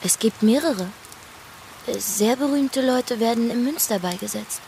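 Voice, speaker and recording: A woman's voice: a little high, a little slow, vocal fry, dark tone, slight background noise. An actress playing a sober young woman who gives formal testimony in a radio drama. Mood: matter-of-fact.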